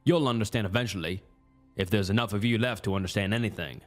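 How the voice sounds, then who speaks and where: A deep-voiced Southern US cult leader from a horror audio story, acted out by a male narrator. He is a quietly malicious tormentor who keeps his tone level and almost bored as he threatens. Music plays underneath his low voice. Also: quick pace, close to an everyday voice. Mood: cold menace.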